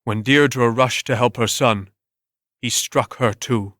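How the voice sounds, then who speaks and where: A man's voice: mid-range voice, slow pace, a bit bright, no room sound, studio quality. A man giving a dramatic scripted reading of a story as its narrator.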